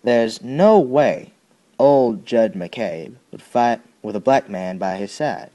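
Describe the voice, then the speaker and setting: A man playing a young Southern soldier in an audio drama, giving a plain-spoken, folksy, halting account full of bitterness and grief. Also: medium pitch, animated, warm tone. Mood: shaken recounting. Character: young Southern soldier recounting a childhood atrocity, bitter and grieving. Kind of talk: dramatic first-person monologue, audio drama.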